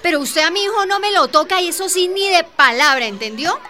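A woman's voice: extremely high pitch, lively intonation, fairly quick, bright, forceful tone, heavily acted. An actress playing a protective, outspoken Colombian mother in a radio drama, with firm, colloquial speech. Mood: indignant, firm.

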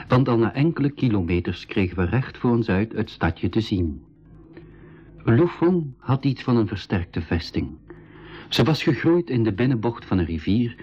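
An actor narrating a travel adventure in the first person, in a measured, literary radio-drama style. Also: male voice, medium pitch, breathy edge, lo-fi sound.

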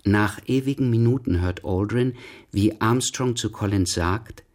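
Actor as low-voiced male space expert, measured. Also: darkish tone, close to an everyday voice. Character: astropsychologist doctor, male expert on a mock space panel. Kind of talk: radio drama, scripted narration and dialogue.

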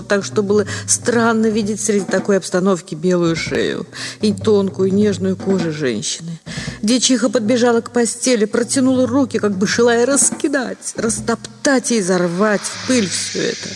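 A woman's voice: mid-range voice, background noise. A woman narrating a literary story as an actress would, in a dramatic scripted reading. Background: music.

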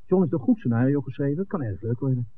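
An actor playing an eager, easygoing television director, speaking in a breezy, exclamatory 1960s Dutch radio drama style. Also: male voice, mid-range voice, animated, fast talker, narrow, tinny audio, lightly acted. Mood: sociable. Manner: exclamatory, breezy, chuckling between phrases. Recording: thin, noisy 1960s radio recording.

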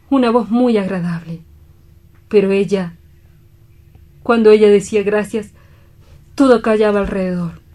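A woman playing a grief-stricken, guilt-ridden doctor in a radio drama, solemn, halting and imploring. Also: medium pitch, warm tone, lightly acted. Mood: tender, haunted.

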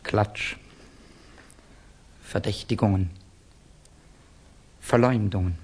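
An actor playing a guarded, brooding Russian exile in a German radio play, speaking in a terse, grave, defensive way. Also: male voice, fairly low pitch, very slow, a little mellow, breathy edge, heavily acted. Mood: guarded, bitter.

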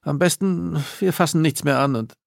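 Male reader as bold impatient girl, lifted pitch, urgent, practical, audiobook dialogue, dry. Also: moderate pace, creaky, dry recording. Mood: dismayed.

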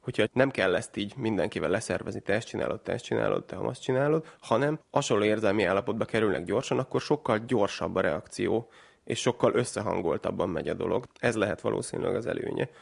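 A man with a low, heavily gravelly voice explains research at length in an interview. His delivery is measured and academic, with long chained sentences. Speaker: researcher guest.

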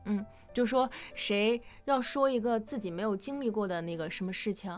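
A woman's voice: mid-range voice, moderate pace. A woman hosting a casual fan quiz in a chatty, conversational way, leading the questions. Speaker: quiz host. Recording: music behind the voice.

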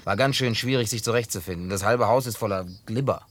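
A man's voice: lowish voice, fast, lightly acted. An actor in a German radio play, voicing a blunt, boastful, evasive man who talks colloquially. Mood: eager, friendly.

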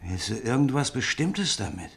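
An actor playing a wary, needling man in a radio play, who speaks deliberately and probes with questions. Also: mid-range voice, big pitch swings, a bit bright. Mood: wary probing. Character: wary, probing man reluctantly selling a revolver.

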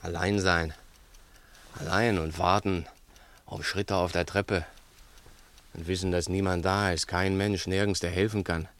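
An actor playing a brooding, taunting, talkative crook in a 1960s German radio play, musing theatrically and condescendingly. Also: male voice, low-pitched, flat delivery, unhurried, long pauses, fairly bright tone, smooth. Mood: grim relish.

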